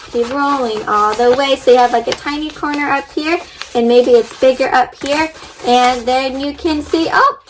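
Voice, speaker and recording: A high-pitched female voice leads an interactive story time for children. She is expressive and encouraging, speaking directly to young viewers with questions and step-by-step prompts. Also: a little hiss or hum, rough recording. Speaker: read-aloud host for children. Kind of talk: interactive children's story read-aloud with craft instructions.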